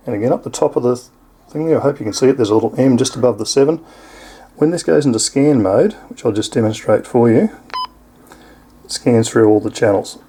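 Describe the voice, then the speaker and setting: A man's voice: mid-range voice, dark tone, slightly rough. An Australian man giving a casual, explanatory hands-on product review in an informal way.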